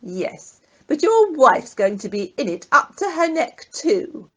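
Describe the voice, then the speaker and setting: A woman acts a bossy schemer with a highish, swooping voice. She is cold and commanding, in radio drama style. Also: sing-song, takes it slowly, fairly bright tone, full character voice. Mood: grim. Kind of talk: amateur radio play acting.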